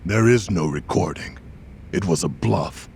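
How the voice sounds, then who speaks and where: An actor playing a cocky, nonchalant hired impostor in a video game, with laconic delivery. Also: male voice, fairly low pitch, lively intonation, measured pace, vocal fry, a little mellow, a little hiss or hum. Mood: cool, nonchalant. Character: cocky, unhurried hired impostor, adult man. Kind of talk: English video game voice acting.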